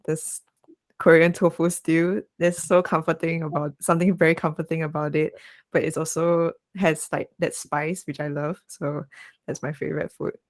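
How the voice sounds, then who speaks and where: Low-voiced Malaysian woman. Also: creaky, a little mellow, dry recording. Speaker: musician, participant. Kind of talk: casual online group chat.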